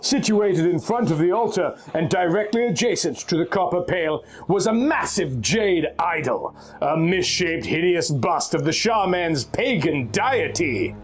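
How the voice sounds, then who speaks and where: A man's voice: sits high, expressive, measured pace, hardly any pauses, slight background noise. A man reading pulp fantasy aloud in a theatrical, scripted way, drawing out words at the ends of phrases. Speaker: narrator reading a story aloud.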